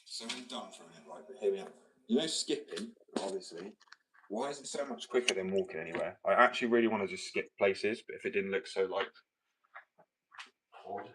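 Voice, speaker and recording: British male streamer, lowish voice, rambling, self-interrupting, heard from a distance. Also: fairly quick, noisy background. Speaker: livestreamer reading chat. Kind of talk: casual stream chat while working.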